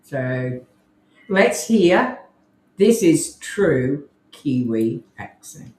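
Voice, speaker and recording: A woman's voice: low voice, very animated, very slow, a little mellow. An Australian woman co-hosting a casual live podcast, chatting in a rambling way with lots of restarts. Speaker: podcast co-host. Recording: roomy echo.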